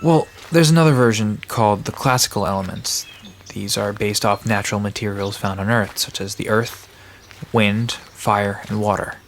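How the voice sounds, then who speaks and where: A man's voice: fairly low pitch, normal speed, slight background noise, natural voice. A man playing an aloof park caretaker in an audio drama, explaining things in a dry, matter-of-fact, guarded way. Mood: patient, matter-of-fact.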